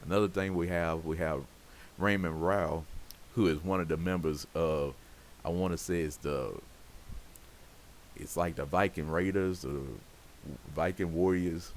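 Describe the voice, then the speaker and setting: A man with an extremely low, scratchy voice talks through his game in a casual, rambling way, stacking up "you know" and "pretty much" as he goes. A faint hiss sits under the recording. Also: fairly even intonation, a little slow, pauses often. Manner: rambling, loose, full of fillers. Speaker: game streamer. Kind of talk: casual gameplay commentary.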